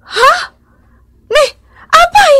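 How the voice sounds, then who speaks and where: High, slow, animated village wife. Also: female voice, full character voice. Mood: shocked. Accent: Ilocano. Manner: expressive, exclamatory. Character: humble, excitable village wife. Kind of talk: radio comedy drama acting.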